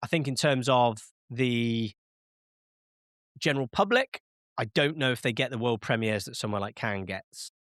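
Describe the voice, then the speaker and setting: A man's voice: medium pitch, lively intonation, moderate pace, fairly bright tone, dry recording. Guy hosting a film podcast, bit nasal from a cold, lots of ums, dry close sound. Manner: chatty, many fillers and restarts. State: has a cold, slightly nasal. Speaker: podcast host. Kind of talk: casual film chat.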